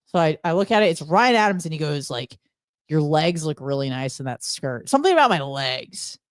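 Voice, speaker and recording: A young woman chatting casually and telling gossipy stories in an animated, blunt, teasing way, acting out dialogue as she goes. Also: sits low, fairly quick, no echo, clean studio sound. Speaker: radio show co-host. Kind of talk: casual chat, gossipy storytelling.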